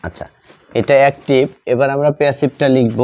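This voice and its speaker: A man with a Bengali accent teaching grammar step by step, dictating word by word in a halting, repetitive way. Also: mid-range voice, steady tone, a little mellow.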